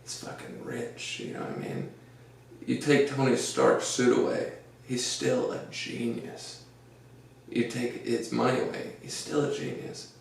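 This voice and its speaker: A man hosting a casual podcast, with a deep, gravelly voice, rambling through an earnest pep talk full of fillers. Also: little pitch movement, unhurried, distant mic. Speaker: podcast host. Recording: echoey room, off-mic, faint noise.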